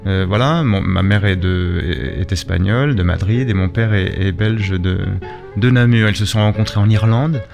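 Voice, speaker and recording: Man, middle-aged, low voice, halting conversational delivery, radio interview guest, prominent guitar music underneath. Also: vocal fry. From Spain and Belgium, lived in France. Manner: hesitant, halting, repeats words while searching for phrasing. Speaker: interview guest, shop and gallery owner, artist. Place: radio studio. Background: guitar music playing behind the voice.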